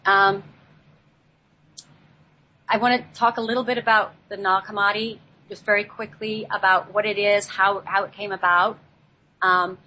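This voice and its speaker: A woman with a mid-pitched voice speaks as a spiritual guest on a talk show. She talks unscripted and haltingly, often restarting her phrases. There is noise in the background of the recording. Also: pushed and bright, low-quality recording. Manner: halting, searching for words, repeats herself.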